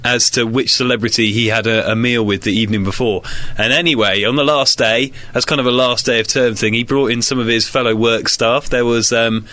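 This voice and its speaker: A man's voice: medium pitch, sharp tone. A man co-hosting a breakfast radio podcast, trading casual jokes in a dry way. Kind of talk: casual comic banter.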